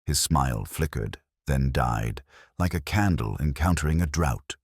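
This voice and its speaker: A man playing a melancholy, world-weary English police inspector, narrating his own story in a measured, literary, reflective way. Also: rumbling low, lively intonation, breathy edge, no room sound, clean studio sound, full character voice. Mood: quietly sceptical. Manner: measured, brooding, literary delivery. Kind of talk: scripted first-person narration, literary detective drama.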